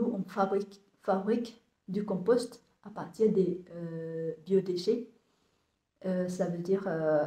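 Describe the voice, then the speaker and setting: Vietnamese-accented woman, 30s, talks slow and stops a lot, echoey room. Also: mid-range voice, dark tone. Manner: hesitant, many fillers and restarts. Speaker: compost business owner. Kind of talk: casual talk to camera, halting.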